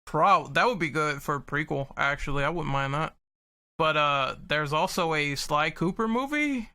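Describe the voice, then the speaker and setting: A man with a fairly high, very bright voice. He talks casually, as a panelist does in a relaxed podcast discussion. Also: moderate pace, clear, resonant tone.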